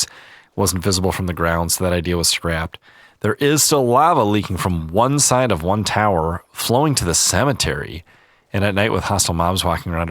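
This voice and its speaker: A man hosting a relaxed gaming video, chatting calmly and reading viewer comments, a little stuffy-nosed. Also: deep voice, dry recording, studio quality. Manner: calm, easygoing, chatty. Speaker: gaming channel host.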